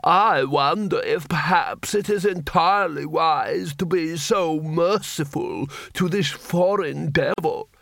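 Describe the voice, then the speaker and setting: A man's voice: fairly high pitch, slow, creaky, hardly any pauses, fairly bright tone, dry recording, pitched above the performer's own voice, exaggerated voice. A man voicing a plump, nervous courtier for an audiobook, hesitant and obsequious. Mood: nervous, fawning. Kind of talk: audiobook character voice.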